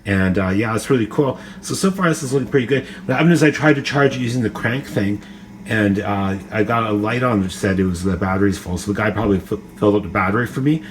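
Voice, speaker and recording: A man with a fairly low voice thinks aloud as he demonstrates a gadget. His delivery is casual, rambling and tentative. It is a phone recording made indoors, with noticeable background noise. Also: quick pace, poor audio. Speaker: amateur gadget reviewer. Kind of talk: casual, unscripted product demonstration. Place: indoors, kitchen counter. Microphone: phone camera, held or propped nearby.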